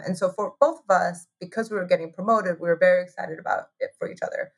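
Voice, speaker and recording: A woman in her thirties from Taiwan tells her story as an interview guest. Her voice sits low and has a husky, breathy edge. She talks in a loose, chatty way, laughing often and leaning on "like". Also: fast talker. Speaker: guest, hot sauce co-founder.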